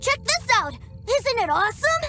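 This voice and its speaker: A woman voicing an excitable, stubborn little boy in a game cutscene. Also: extremely high pitch, a little slow, exaggerated voice. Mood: eager. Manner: excited, bossy, childish. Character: eager little boy, toy-obsessed and stubborn. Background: game music.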